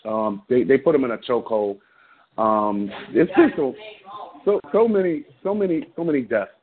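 A man speaks over a thin, tinny phone line, his voice at an ordinary middle pitch. He talks bluntly and with passion, rambling through long runs of thought. He is a caller on a talk radio show. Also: lively intonation, moderate pace. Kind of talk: call-in radio commentary.

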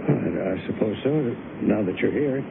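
Nervous cornered author, stammering, animated pitch, radio drama, noisy old broadcast. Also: male voice, moderate pace, creaky. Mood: startled, uneasy. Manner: stammering, flustered, placating. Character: nervous male author cornered by a threatening intruder. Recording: muffled lo-fi old radio broadcast, rumbling hiss.

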